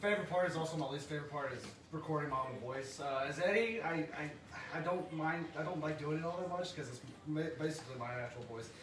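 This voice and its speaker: Male voice actor in casual chat, high lively pitch, hesitant starts, roomy off-mic sound. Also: quick pace, recorded from a distance, background noise. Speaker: podcast voice actor. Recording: echoey room, away from mic.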